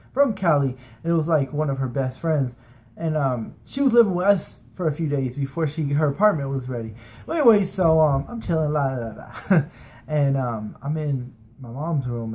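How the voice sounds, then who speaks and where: Higher-pitched American man chatting casually. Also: a little mellow. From United States, from San Francisco and Las Vegas. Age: 30s. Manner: informal, rambling. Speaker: vlogger telling personal stories. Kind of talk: casual chat, anecdotal storytelling. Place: small bedroom, indoors. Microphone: webcam or camera at desk distance.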